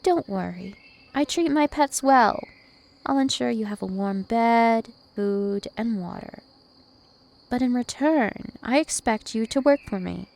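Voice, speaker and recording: Slow, seductive vampire princess, acted by a woman. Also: fairly high pitch, animated, gravelly, darkish tone. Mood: possessive, commanding. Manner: slow, deliberate, teasing and possessive. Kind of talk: ASMR roleplay.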